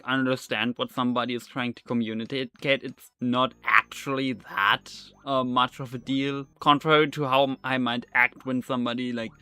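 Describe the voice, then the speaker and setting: Bright-voiced German man streaming a game. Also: mid-range voice, smooth, no room sound. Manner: rambling, many fillers and restarts, laughs often. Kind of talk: live gameplay commentary.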